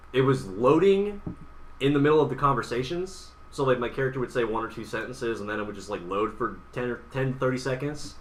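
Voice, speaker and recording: A man co-hosting a gaming podcast in casual, sometimes profane chat. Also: medium pitch. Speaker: podcast co-host. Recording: distant mic, bright, faint background noise.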